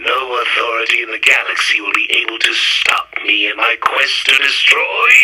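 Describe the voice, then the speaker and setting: An actor playing a pompous, exasperated mad-scientist supervillain in a retro radio serial, grandiose and wordy. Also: male voice, medium pitch, pushed and bright, slightly rough, full character voice, rough recording. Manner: grandiose, theatrical, verbose.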